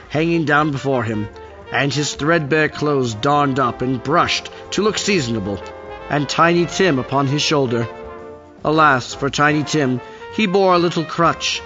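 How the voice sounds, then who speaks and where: A man reading scripted storybook narration for an audio play, on a dull recording with music behind. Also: fairly high pitch.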